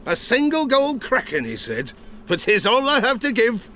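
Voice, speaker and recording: An actor playing an old, garrulous sailor and former harpooner, telling tavern tales in rustic seafaring dialect for an audio drama. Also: male voice, very high voice, huge pitch swings, normal speed, bright, forceful tone, slight background noise, exaggerated voice. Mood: dramatic, grim. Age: older. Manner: rustic sailor dialect, chuckling, conspiratorial asides. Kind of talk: theatrical audio drama storytelling.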